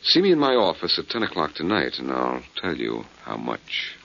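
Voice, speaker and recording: An actor playing a cool, quick-witted, wry male detective with clipped delivery in a 1940s radio drama. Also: extremely low pitch, wildly swooping pitch, gravelly, sharp tone, full character voice. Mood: sly bluffing. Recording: dull 1940s radio recording.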